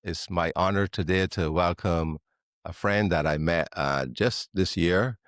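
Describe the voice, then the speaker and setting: Podcast host guy, really low voice, friendly, says um a bit, dry sound. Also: unhurried, fairly bright tone, no room sound. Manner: friendly, with fillers. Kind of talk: podcast interview.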